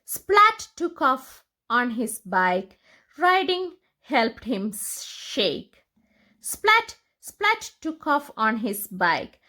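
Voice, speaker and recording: Slow, high-pitched woman reading to children. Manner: expressive, deliberate, simple phrasing for young children. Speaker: storyteller reading picture books aloud. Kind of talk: children's read-aloud storytelling.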